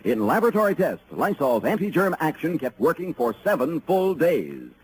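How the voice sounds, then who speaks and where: A man's voice: fairly high pitch, sing-song, moderate pace. A man announcing a scripted 1950s radio commercial. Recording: slightly noisy old radio recording.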